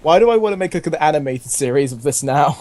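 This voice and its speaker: A man's voice: on the high side, expressive, fast, darkish tone, no room sound. A man reading a comic story aloud as host, with casual banter and dramatic emphasis. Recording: faintly noisy home gaming recording.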